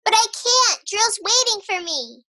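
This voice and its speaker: A woman playing an excited, impatient, secretive little girl in an audio drama. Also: very high voice, measured pace, full character voice.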